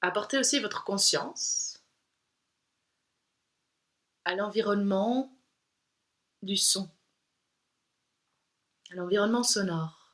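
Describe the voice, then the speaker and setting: A woman yoga instructor leading a guided meditation in a calm, soothing, unhurried way with pauses. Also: medium pitch.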